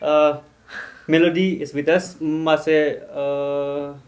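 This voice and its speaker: A man's voice: on the high side, little pitch movement, slow. A man hosting a reaction video, chatting casually and laughing often. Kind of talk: casual chat.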